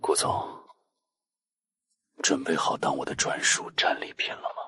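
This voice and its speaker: A man's voice: unhurried, pushed and bright, whispered. A man playing a possessive, seductive and menacing character in a melodrama. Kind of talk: dramatic audio drama acting.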